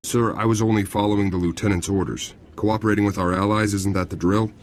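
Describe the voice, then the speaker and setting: A man's voice: lowish voice, steady tone, quick pace, slight background noise, close to an everyday voice. A man voicing a dutiful army captain in a video game, dry and deferential. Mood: defensive.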